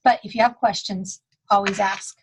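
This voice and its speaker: A woman's voice: medium pitch, steady tone, normal speed, a little hiss or hum, low-quality recording. A woman giving a recorded staff training talk, casual, with dry humor and many fillers. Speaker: school testing coordinator.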